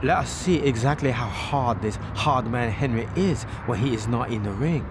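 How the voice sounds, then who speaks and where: An actor playing a stern, menacing crime boss in a game cutscene, giving orders in formal, measured words. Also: male voice, mid-range voice, close to an everyday voice. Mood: commanding. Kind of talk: dramatic video game cutscene acting. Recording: loud ambient and vehicle noise.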